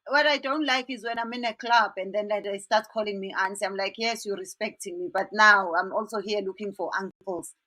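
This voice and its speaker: A woman's voice: mid-range voice, a little fast, few pauses, sharp tone. Playful woman host on a webcam. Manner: playful, teasing questions. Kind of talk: casual video-call chat. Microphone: webcam on a video call.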